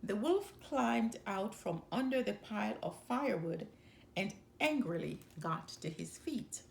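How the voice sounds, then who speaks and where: Slow, animated woman storyteller. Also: mid-range voice, vocal fry, slight background noise. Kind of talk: expressive read-aloud children's story narration.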